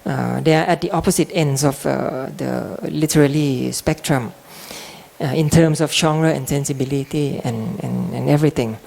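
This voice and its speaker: Thai-born man, native Thai speaker, gravelly guest lecturer, halting and repetitive, mid-pitched. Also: a little mellow. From Thailand. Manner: halting, restarts and repeats words, clears throat often. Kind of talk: public lecture.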